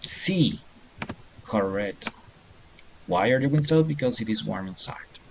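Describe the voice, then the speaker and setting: A man's voice: on the low side, lively intonation, measured pace, vocal fry, slight background noise. A middle-aged man teaching a beginner English class online, patiently encouraging students and reading answers aloud.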